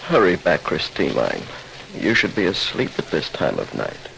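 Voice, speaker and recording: A man playing a gruff peasant father and husband in a 1940s radio drama, with a gravelly voice. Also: low-pitched, huge pitch swings, normal speed, a bit bright, full character voice. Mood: drowsy. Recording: crackly old radio recording, slightly noisy.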